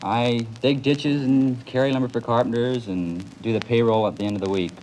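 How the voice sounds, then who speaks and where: A man's voice: mid-range voice, steady tone, a little fast, darkish tone, a little hiss or hum, rough recording. A nineteen-year-old American man from California, giving a quiz show host plain, polite answers as a contestant. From California, United States. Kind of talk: answering a host's questions.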